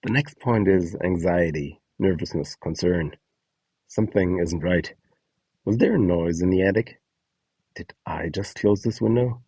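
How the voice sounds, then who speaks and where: A man's voice: deep voice, measured pace, mellow, breathy edge, dry recording. A man presenting a scripted guide, announcing prompts and describing short example scenes. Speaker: presenter of an audio donation guide.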